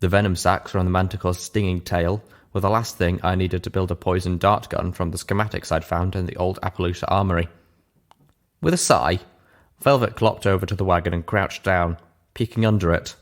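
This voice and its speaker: A man's voice: low-pitched, steady tone, brisk. A man reading a scripted story aloud as its narrator. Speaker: audiobook reader, narrator.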